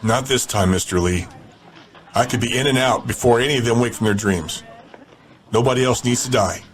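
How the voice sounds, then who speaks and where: A man's voice: mid-range voice, normal speed, slight background noise, natural voice. A man playing a ruthless, confident gang leader in an audio drama, commanding and menacing. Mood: resolute.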